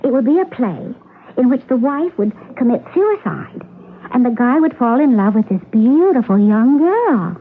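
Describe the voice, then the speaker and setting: A woman's voice: on the high side, very animated, moderate pace, slight background noise. A woman playing a brash, vain, self-assured young actress under suspicion in a radio mystery. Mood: boastful, scheming. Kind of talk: radio mystery drama acting. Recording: muffled lo-fi old radio broadcast.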